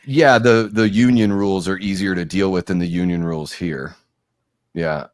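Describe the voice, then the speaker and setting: A man in his forties with a fairly low voice, rambling happily through a live movie watch-along as the host. The sound is dry and close, with no room around it. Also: fairly even intonation, normal speed, dry recording. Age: 40s. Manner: talkative, rambling, laughs often.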